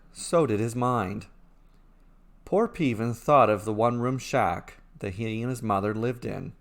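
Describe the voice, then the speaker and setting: A man tells a fairy tale as a storyteller, reading from a script. His phrasing is measured, with short sentences set apart for drama. His voice sits at a medium pitch for a man.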